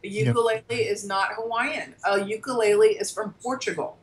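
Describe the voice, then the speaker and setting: A woman chatting on a video call panel, lively and argumentative. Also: mid-range voice, moderate pace, echoey room. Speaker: panel guest. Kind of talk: casual chat.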